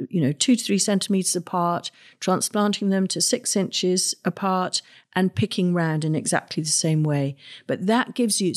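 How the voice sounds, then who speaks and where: A middle-aged woman hosting a gardening podcast, talking in a chatty, practical way with asides and restarts. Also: fairly low pitch. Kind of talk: casual solo podcast talk.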